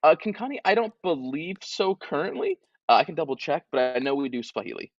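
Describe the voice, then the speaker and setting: A man appearing as a guest from a tech company, pitching his product in an upbeat, animated way and repeating words as he goes. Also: brisk, no room sound, muffled recording.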